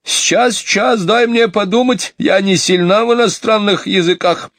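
A gossipy, fussy housekeeper woman from a children's storybook, acted out by a man. The voice is pitched high, with sing-song, gushing exclamations. The sound is close and dry. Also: moderate pace, a bit bright, dry recording. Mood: flustered, musing. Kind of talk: audiobook character voice.

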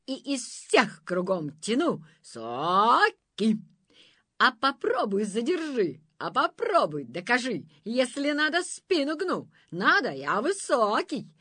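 A woman doing a loud bragging forest monster. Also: fairly high pitch, dry recording, pitched above the performer's own voice, exaggerated voice. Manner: shouting, stamping, sing-song boasting. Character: boastful bullying male forest monster.

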